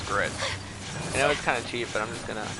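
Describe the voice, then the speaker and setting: A man's voice: mid-range voice, fast talker, over loud music, poor audio. A man giving casual live commentary while streaming a video game.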